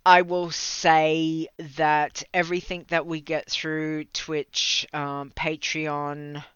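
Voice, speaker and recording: Slow, bright-voiced Australian streamer. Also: mid-range voice, little pitch movement, clean tone, no echo. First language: English. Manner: rambling, laughs often, stumbles over words. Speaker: livestream host. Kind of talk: casual chat with viewers. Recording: slightly dull lo-fi mic, dry.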